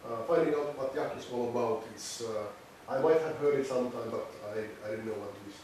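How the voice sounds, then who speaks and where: A man's voice: mid-range voice, a little fast, a little mellow. A man from Finland introducing himself at a workshop, hesitant. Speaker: workshop participant. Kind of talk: self-introduction. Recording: echoey room from far off, noisy.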